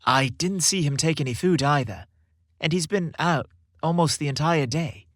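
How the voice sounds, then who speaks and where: A man voicing a supportive young boyfriend in a story, with a gentle, reassuring manner. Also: fairly high pitch, moderate pace, dry recording, clean studio sound, close to an everyday voice. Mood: concerned.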